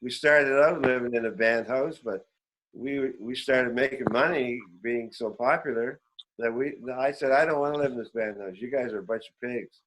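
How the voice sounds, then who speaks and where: A man's voice: mid-range voice, moderate pace, low-quality recording. Older Canadian man reminiscing casually. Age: 70s. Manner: rambling, animated storytelling. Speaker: veteran musician, interview guest. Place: remote online call.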